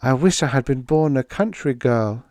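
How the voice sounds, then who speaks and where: A man's voice: medium pitch, expressive, moderate pace, darkish tone, dry recording, voice pushed up, close to an everyday voice. A man voicing a wistful, dutiful young princess for an audiobook, in an earnest, plaintive delivery. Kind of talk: audiobook character voice.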